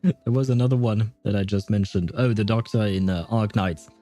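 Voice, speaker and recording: Mellow-voiced man rambling on a livestream. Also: lowish voice, normal speed, faint music behind. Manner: rambling, jokey, thinking aloud. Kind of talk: casual livestream game commentary.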